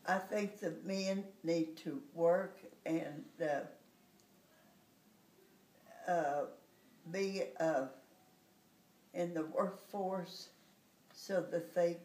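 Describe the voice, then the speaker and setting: A woman in her eighties speaks slowly and haltingly, searching for words. She sounds far from the mic in an echoing room. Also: lowish voice, fairly even intonation, darkish tone. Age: 80s. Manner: hesitant, many "uh" fillers. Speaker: interviewee. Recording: roomy echo, distant mic.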